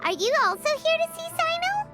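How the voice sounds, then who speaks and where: A woman voicing a tiny, excitable girl companion in a game, with squeaky, eager exclamations. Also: a little fast, over music. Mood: excited.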